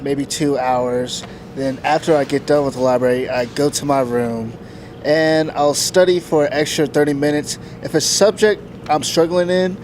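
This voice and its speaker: A young man, a college student, answering an interview question casually. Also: darkish tone. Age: young adult. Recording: rumbly outdoor urban background noise.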